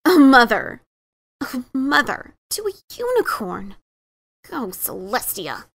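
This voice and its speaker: An adventurous pegasus pony author, played by a woman in a fan audio drama. The voice is high and forceful with a crackly edge. She is emphatic and defensive, and stumbles when things get awkward. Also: very animated, slow, creaky, heavily acted. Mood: dejected. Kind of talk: fan dramatic reading of a story.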